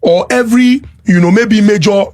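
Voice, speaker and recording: A Nigerian man from Lagos on a talk panel, explaining his point deliberately and step by step. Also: sits high, a little mellow. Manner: deliberate, explanatory, repeats to hold the floor. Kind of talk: measured debate.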